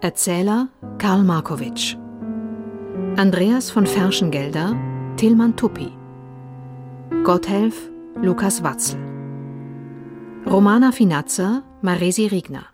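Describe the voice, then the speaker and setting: Female announcer for drama credits: deliberate, very slow delivery, neutral tone, piano music clearly behind. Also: medium pitch, expressive. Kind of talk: scripted radio credits announcement. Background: piano and instrumental music.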